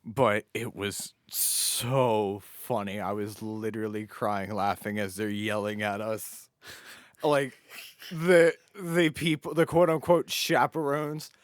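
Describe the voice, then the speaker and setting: Low-voiced man chatting casually. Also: level tone, takes it slowly, no echo, clean studio sound. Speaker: podcast co-host. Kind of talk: casual chat, telling anecdotes.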